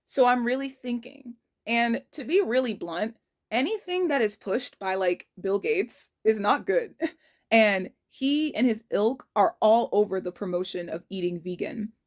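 A young woman in her twenties talking casually to her phone in a kitchen, earnest and frank, reflecting out loud. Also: medium pitch, lively intonation, a little slow. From United States. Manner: earnest and reflective, frank, spells out numbers carefully. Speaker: vlogger. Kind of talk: casual diary vlog. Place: kitchen indoors. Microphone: phone held low at arm's length.

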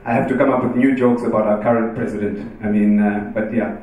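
A man in his thirties from Zimbabwe talks from a stage in a loose, joking manner, filling pauses with "uh" and "um". His voice rings through a big, reverberant hall. The mic sits some way off from him. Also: lowish voice, muffled recording. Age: 30s. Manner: joking, relaxed, with many fillers. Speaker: speaker answering audience questions. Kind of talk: casual talk and Q&A on stage. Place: large dark event hall with audience. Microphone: handheld mic on stage, heard from afar. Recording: echoey hall, distant mic.

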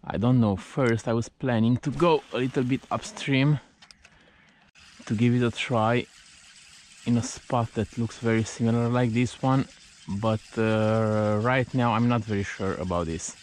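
Male angler vlogger, casual talk, mid pitch, faint outdoor noise. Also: steady tone, measured pace, darkish tone. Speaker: angler filming own fishing vlog. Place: outdoors by a river.

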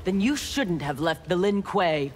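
A woman's voice: lowish voice, animated, a little slow, a little hiss or hum. An actress voicing a cold, haughty armored female ninja cyborg in video game banter. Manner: haughty, menacing.

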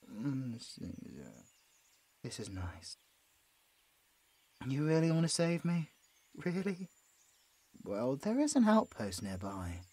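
A man's voice: on the high side, dry recording, exaggerated voice. A British man playing a mortally wounded, sleepy man fading away tenderly in an intimate audio roleplay.

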